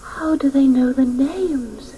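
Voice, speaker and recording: Radio play little girl: languid, sad, high, very slow, noisy recording. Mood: wondering. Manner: languid, sighing. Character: beautiful, weary, sorrowful little girl. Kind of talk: radio drama acting.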